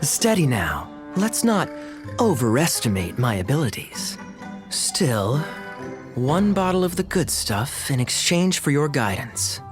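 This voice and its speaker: A man voicing a sly young knight in a video game, smooth-talking, wry and mock-polite. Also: mid-range voice, very animated, unhurried, music in the background. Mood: wry, teasing. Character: suave, sly, teasing young male knight. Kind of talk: animated video game voice acting.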